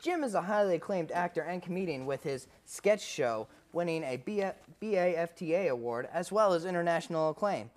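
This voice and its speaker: A young man co-hosting a college radio arts segment, with deadpan jokes in chat and reading event promos. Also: a little high, fairly quick, hardly any pauses. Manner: dry, deadpan humor. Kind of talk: casual chat and read announcements.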